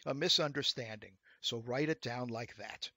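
A man's voice: medium pitch, moderate pace, sharp tone, no echo. A man voicing a testy, curt and commanding devil who rules hell in a storybook tale. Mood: impatient.

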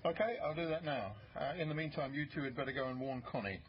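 An actor playing a harried, businesslike senior detective in a naturalistic radio drama. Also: male voice, a little high, animated, fast talker, natural voice. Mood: brisk, evasive. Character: harried, brisk male senior police detective. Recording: slightly dull, faintly noisy recording.

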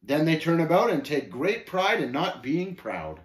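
A man's voice: a little high, very animated, unhurried, voice pushed up. A man voicing Shame's part in a story, a haughty scoffer who talks in archaic speech with contemptuous, gloating, snapping taunts. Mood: sneering, gloating. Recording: roomy, echoing voice recording.

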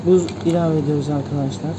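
Man explaining a cocktail with a flat voice. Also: fairly high pitch, fast, background noise. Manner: plain, repeats "arkadaşlar" as he narrates each step. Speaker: bartender host. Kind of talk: step-by-step cocktail demonstration. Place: hotel bar indoors.

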